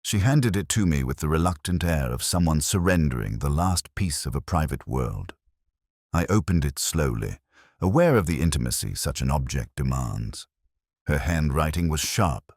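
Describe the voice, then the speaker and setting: A man narrating a scripted first-person detective story in a measured, brooding, literary manner. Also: very deep voice, no room sound, studio quality.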